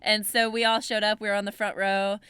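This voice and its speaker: A woman's voice: medium pitch, level tone, fairly quick, fairly bright tone, smooth, dry recording, clean studio sound. A young woman, a voice actress and co-host, telling stories in casual, self-deprecating chat. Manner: chatty, self-deprecating storyteller.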